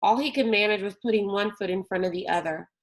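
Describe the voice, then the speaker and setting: A woman narrating a children's novel aloud in a deliberate, expressive storytelling manner. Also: mid-range voice, rough recording.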